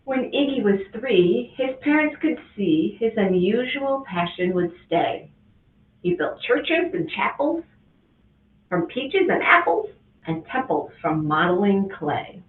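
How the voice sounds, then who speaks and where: A woman reading a rhyming picture book aloud to children as a guest reader, with an expressive, sing-song delivery. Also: mid-range voice, slow. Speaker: guest reader, school administrator. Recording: tinny, echoey, from a distance.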